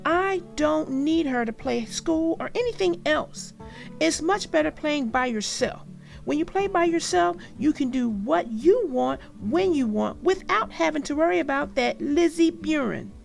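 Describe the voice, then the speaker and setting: A woman's voice: high voice, lively intonation, a little slow, gravelly, soft background music, voice pushed up, heavily acted. A woman voicing a bossy, indignant young girl bear cub in a children's storybook. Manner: loud, indignant, shouting. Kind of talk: children's storybook read-aloud.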